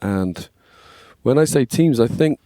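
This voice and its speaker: An English man in his forties with a slightly scratchy voice, chatting casually as a podcast guest and telling stories in a relaxed way. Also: mid-range voice, slow, dry recording. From England. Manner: relaxed, storytelling, frequent "yeah, man". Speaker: guest, worship label manager. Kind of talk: casual conversation.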